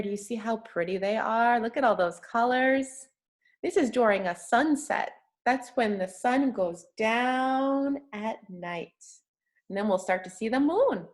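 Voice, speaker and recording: A woman with a fairly high voice reads a picture book aloud for small children. She takes it very slowly, like a teacher. Her talk is simple and encouraging, full of questions for the listener.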